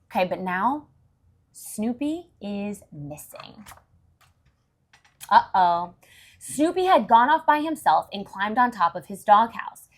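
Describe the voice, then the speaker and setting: A woman's voice: mid-range voice, big pitch swings, takes it slowly, long pauses. A woman reading a storybook aloud to young children, with encouraging, explanatory asides.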